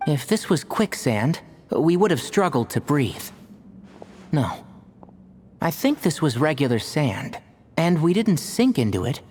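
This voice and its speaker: A man's voice: on the high side, very animated, measured pace, darkish tone, slightly rough, exaggerated voice. A man voicing a sensible, precise young scholar in a video game, matter-of-fact and explanatory. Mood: practical, calm. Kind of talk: video game character acting.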